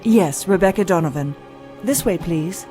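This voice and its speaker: An actress playing a weary, dedicated and compassionate doctor in an audio drama, earnest and dutiful in manner. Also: female voice, on the low side, moderate pace, dark tone, soft background music, lightly acted. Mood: polite, businesslike.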